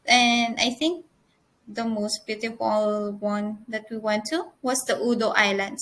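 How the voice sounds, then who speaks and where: Girl in her 20s, kinda high voice, earnest and measured, giving a student talk. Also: fairly bright tone. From Philippines. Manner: earnest, measured, occasional restarts. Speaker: student presenter. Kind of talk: prepared conference presentation.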